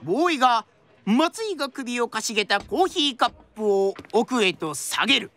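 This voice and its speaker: A woman's voice: on the high side, expressive, normal speed, a bit bright. A woman narrating a drama from a script in a theatrical, traditional storyteller's style.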